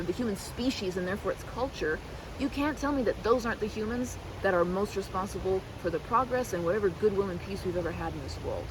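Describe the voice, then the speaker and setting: A woman's voice: on the high side, background noise. A woman rambling casually and animatedly like a chatty best friend, slipping into mimicked quotes in a roleplay.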